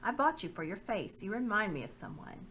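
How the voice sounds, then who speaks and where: A woman's voice: medium pitch, very animated, a little fast, fairly bright tone, slight background noise. A woman reading the part of a loyal middle-aged nobleman, speaking earnestly and courteously.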